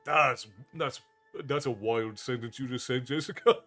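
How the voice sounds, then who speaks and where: A man's voice: mid-range voice, a little slow, fairly bright tone, faint music behind. A man in his thirties from Ireland with an Irish accent mixed with American, chatting casually with viewers as a streamer and thanking them often.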